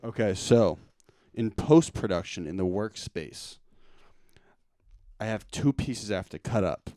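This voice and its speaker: A man with a fairly low voice hosts a loose, casual interview. He talks in slangy, buddy-like phrases, and the sound is close and dry. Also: expressive, measured pace, mellow, dry recording. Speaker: interview host. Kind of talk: casual chat.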